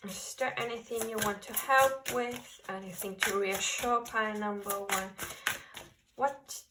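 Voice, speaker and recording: A woman giving a pick-a-card tarot reading in a gentle, reassuring way, speaking English as a second language. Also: medium pitch, fairly even intonation, a little slow, distant mic, heavy background noise. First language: not English. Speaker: tarot reader.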